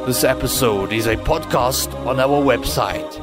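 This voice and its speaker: A man's voice: medium pitch, unhurried. Man narrating solemnly over music. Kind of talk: scripted narration.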